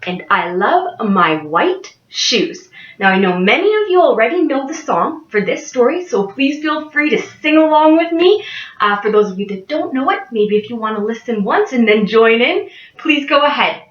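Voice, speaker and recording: A young adult woman reading a picture book aloud to children in an expressive way. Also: fairly high pitch, moderate pace, reverberant, highs cut off. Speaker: early childhood education student reading to children. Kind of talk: picture-book read-aloud with sing-along.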